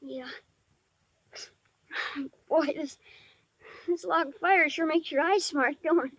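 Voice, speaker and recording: An actor playing a shy but tough-talking ten-year-old boy in 1950s radio drama, with halting, slangy speech. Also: high voice, fairly bright tone, full character voice. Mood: halting, wistful. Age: child figure, almost eleven. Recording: dry vintage 1950s radio recording.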